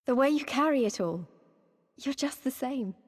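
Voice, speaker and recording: Casting: young rebel woman for fantasy game cutscene, fairly high voice, earnest and plain-spoken, reverberant hall. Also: animated. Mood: wistful, sincere. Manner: heartfelt, halting, plain-spoken. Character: young female resistance fighter, earnest, passionate, self-doubting. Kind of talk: cinematic video game voice acting.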